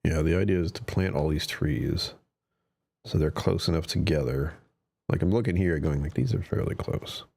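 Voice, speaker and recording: A man's voice: rumbling low, moderate pace, gravelly, darkish tone, breathy edge. A man chatting casually while playing a survival game on a live stream, his voice a little strained, musing to himself and drifting into whispers. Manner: chatty, self-talking, trails off into whispers. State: slightly strained voice. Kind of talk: casual gameplay commentary.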